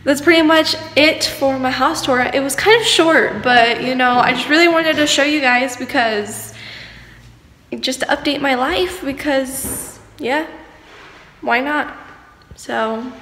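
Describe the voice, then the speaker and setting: A woman's voice: high voice, a bit bright. A teenage girl vlogging a casual house tour with a chatty, rambling way of talking, filmed on a phone held at arm's length in an empty house. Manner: chatty, rambling, filler-heavy. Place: empty house with bare walls, indoors. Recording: echoey empty rooms, faint room hum.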